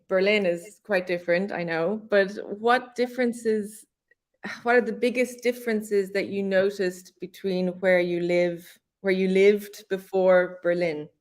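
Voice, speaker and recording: Slow-talking woman hosting. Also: medium pitch. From Ireland. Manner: slow, restarts phrases, switches between German and English. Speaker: host. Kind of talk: casual online session chat.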